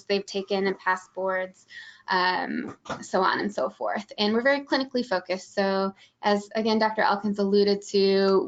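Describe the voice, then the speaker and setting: A woman's voice: mid-range voice, fairly bright tone. A woman with a Michigan Midwestern accent presenting admissions information in a webinar, informative, with many ums and restarts. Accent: Midwestern American (Michigan). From Michigan, USA. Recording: dull lo-fi webinar audio.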